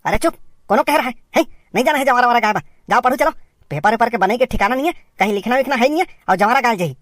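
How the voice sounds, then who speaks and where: A high, closely recorded, dry voice of a nagging village parent in a comic cartoon, acted by a woman. The accent is from Chhattisgarh, and the delivery is strict and exasperated, full of sharp questions. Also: animated, very fast, dry recording, lightly acted. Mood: scolding.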